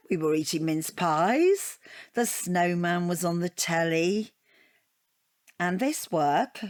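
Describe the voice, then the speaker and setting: A middle-aged British divorcee in an audio comedy drama. Her voice sits fairly low and is recorded dry and close. She rambles chattily, wry and defensive, forever justifying herself. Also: no echo.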